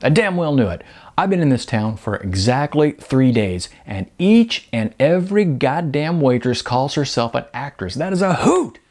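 A man voicing a loud, obnoxious redneck restaurant customer in an audiobook, boisterous and mocking. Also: medium pitch, very animated, moderate pace, voice pushed up. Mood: loud, amused. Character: loud, obnoxious, jeering redneck diner, male.

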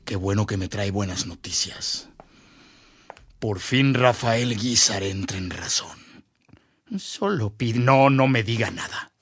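An actor playing a smug, powerful official in a radio drama, talking with condescension. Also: male voice, lowish voice, slow, husky, full character voice. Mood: smug satisfaction.

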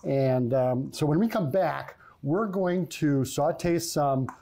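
A man's voice: fairly high pitch, slow pace, vocal fry, dark tone. A middle-aged man demonstrating a recipe as a guest chef in a TV studio kitchen, easygoing and full of ums and uhs. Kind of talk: cooking demonstration.

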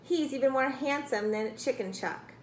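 A woman's voice: high voice, moderate pace, roomy sound, natural voice. A woman voicing a nosy, admiring goose in a storybook, with an insistent manner. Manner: insistent, hissing.

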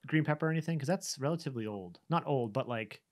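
A man's voice: medium pitch, lively intonation, fast. A man in his thirties chatting casually as a podcast co-host from a room at home. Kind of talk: casual chat. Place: room at home, video call.

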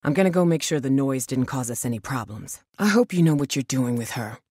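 A woman's voice: extremely low pitch, lively intonation, a little fast, a little mellow, husky, dry recording, full character voice. A woman voice actor playing a guarded, blunt, protective young survivor of a zombie apocalypse in a video game, with a dry delivery. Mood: guarded, wary.

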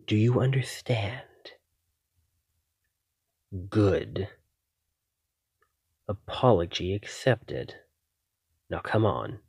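A man playing an obsessive, possessive vampire in an ASMR roleplay, condescending, commanding and deliberate. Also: fairly low pitch, darkish tone, husky, dry recording, studio quality, full character voice. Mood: menacing, commanding. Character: possessive, obsessive male vampire, smugly menacing. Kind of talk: ASMR roleplay, scripted acting.

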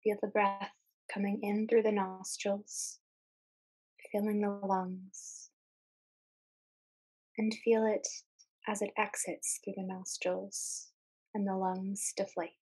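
A woman guides a meditation in a soft, husky-breathy voice. Her delivery is very slow and level, with little rise or fall in pitch. She speaks calmly and soothingly, pausing between short phrases. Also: rough recording. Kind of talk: guided meditation, calm scripted narration.

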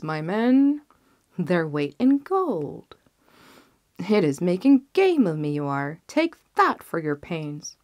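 A woman's voice: lowish voice, no room sound, studio quality. A young woman reading a fairy tale aloud indoors, acting out the characters' voices. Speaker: storybook reader. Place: small indoor set with a red curtain.

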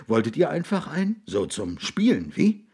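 Storybook role, performed by a male narrator: tormented man at breaking point, raised husky voice, theatrical, frantic. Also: fairly high pitch, wildly swooping pitch, moderate pace, dark tone, voice pushed up, full character voice. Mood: hysterical, mocking. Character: desperate, hysterical, tormented man near breakdown.

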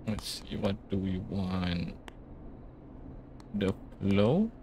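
A man giving casual, low-key gameplay commentary, muttering to himself as he goes. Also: animated, extremely slow, noisy background. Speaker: let's play gamer.

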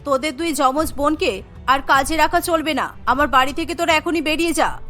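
A woman's voice: high voice, a little fast, pushed and bright, soft background music, pitched below the performer's own voice. A woman voicing a harsh, suspicious female employer in a cartoon, scolding and accusing. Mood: angry, accusing. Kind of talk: cartoon story dialogue.

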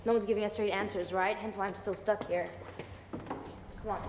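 Brief: actress as a polite, inquisitive young woman, mid-pitched, roomy church echo. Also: fairly even intonation, brisk, lightly acted. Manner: questioning and polite. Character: curious young woman investigating a legend. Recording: echoey hall, faint noise.

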